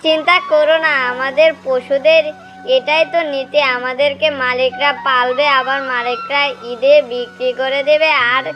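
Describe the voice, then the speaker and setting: A woman's voice: high voice, steady tone, normal speed. A woman voicing a resigned grown farm cow who explains things like an elder brother in a cartoon. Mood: resigned, reassuring. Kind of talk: cartoon dialogue. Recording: dull lo-fi track under loud music.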